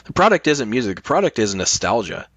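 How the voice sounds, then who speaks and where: A man's voice: mid-range voice, very animated, quick pace, a bit bright, no room sound, dull audio. A middle-aged man talking casually as a podcast guest, explaining his points with emphasis.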